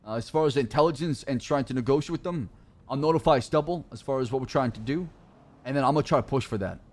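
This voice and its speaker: Man, Arabic-native speaker, police roleplay streamer, careful plain phrasing, mid-pitched voice. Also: quick pace, darkish tone. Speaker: streamer playing a police leader. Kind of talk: roleplay chat and talking to stream chat.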